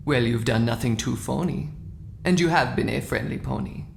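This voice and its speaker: Man voicing a creaky, sagely rhyming pony. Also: mid-range voice, echoey room, slight background noise. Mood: friendly, sage. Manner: rhyming, measured. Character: sagely, friendly male pony who speaks in rhyme. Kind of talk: audio drama acting.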